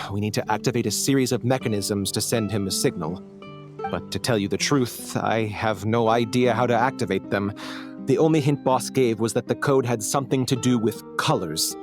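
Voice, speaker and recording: A man's voice: medium pitch, natural voice. A man voicing a wary, obliging smuggler turned informant in a video game, explaining things in a guarded way.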